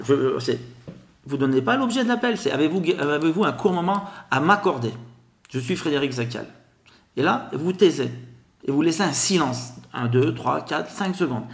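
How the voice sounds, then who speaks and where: A man's voice: a little high, normal speed, vocal fry, reverberant. Sing-song man with a Grenoble accent. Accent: Grenoble French. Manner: lively, sing-song delivery. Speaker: guest, telemarketing expert. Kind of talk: podcast interview.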